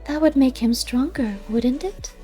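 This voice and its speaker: A woman's voice: high-pitched, measured pace, dark tone, faint music behind, pitched above the performer's own voice. A woman voicing a wry, curious female cat in a storybook reading, dryly questioning and skeptical. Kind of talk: audiobook character voice.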